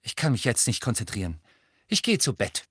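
A man's voice: medium pitch, very animated, fairly quick, a bit bright, slightly rough, no echo, studio quality, exaggerated voice. A man voicing a strong-willed teenage schoolgirl in an audiobook story, bossy and indignant, speaking in an emphatic, scolding way. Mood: irritated, biting.

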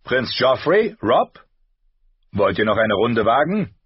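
A man voicing a stern old knight and master-at-arms with a sharp, commanding manner. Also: mid-range voice, normal speed, slightly rough, no room sound, dull audio, pitched above the performer's own voice.

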